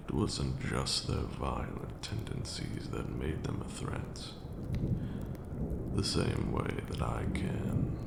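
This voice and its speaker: A man voicing an ancient, brooding vampire lord who mentors with dark grandeur and remorse in a hushed, formal ASMR roleplay monologue. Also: very deep voice, echoey room, in a large hall, very noisy, exaggerated voice. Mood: grim, ominous. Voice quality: gravelly, breathy drops into near-whisper. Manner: slow, deliberate, hushed, formal archaic phrasing.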